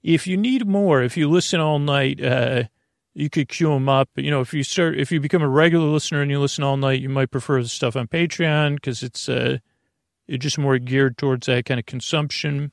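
A man hosting a bedtime podcast, talking in a meandering, self-interrupting way with gentle jokes. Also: medium pitch, brisk, creaky, no room sound, clean studio sound. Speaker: sleep podcast host. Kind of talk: rambling casual monologue.

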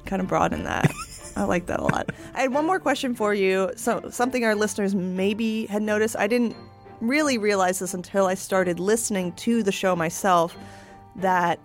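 A woman's voice: mid-range voice, normal speed, soft background music. A woman with a Canadian accent, hosting a podcast and chatting casually with her co-host. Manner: chatty, laughs easily. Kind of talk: casual chat.

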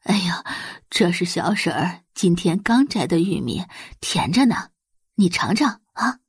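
A woman voicing a plump middle-aged village auntie in an audio drama, warm and fussing, with folksy drawn-out exclamations. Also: on the low side, very animated, breathy edge, no room sound, studio quality, full character voice.

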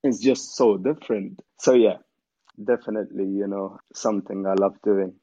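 A man's voice: fairly low pitch, normal speed, no room sound. A young man from Afghanistan, chatting as an interview guest and telling his story easily, with lots of "you know". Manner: relaxed storytelling with many "you know" fillers. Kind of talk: casual interview chat. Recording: dull, dry lo-fi sound.

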